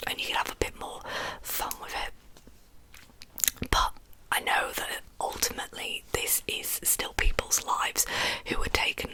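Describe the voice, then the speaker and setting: A woman telling true crime as ASMR in a breathy whisper, with informal, chatty asides. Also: unhurried, pauses often, pushed and bright, poor audio.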